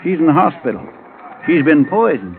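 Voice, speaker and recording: An actor playing a blunt, wry Western sheriff with a folksy drawl in a 1950s radio drama. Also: male voice, a little high, very animated, normal speed, gravelly, narrow, tinny audio. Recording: band-limited vintage radio recording, faint music.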